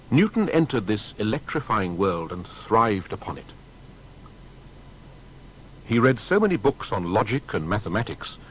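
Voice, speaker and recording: A man narrating a scripted documentary biography in a formal, measured storytelling manner. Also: lowish voice, a little hiss or hum, rough recording.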